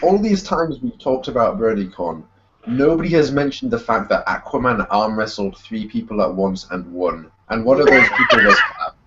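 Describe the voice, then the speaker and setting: A man's voice: lowish voice, expressive, normal speed, bright, forceful tone, slight background noise. A man from Scotland co-hosting a casual podcast, joking and laughing often. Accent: neutral English with slight Scottish. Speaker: podcast co-host. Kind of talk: casual chat. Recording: dull lo-fi podcast audio.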